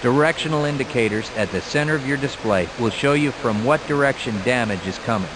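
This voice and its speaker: A man playing a formal, measured training course announcer giving instructions in a video game. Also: medium pitch, background noise, lightly acted. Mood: formal, instructive. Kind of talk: scripted game tutorial narration.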